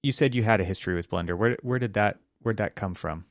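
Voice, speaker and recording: A man's voice: lowish voice, fast, no room sound, studio quality. A man hosting a podcast, asking curious, rambling questions and agreeing often. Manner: curious, asks long questions, many backchannels. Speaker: podcast host. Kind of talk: casual interview chat.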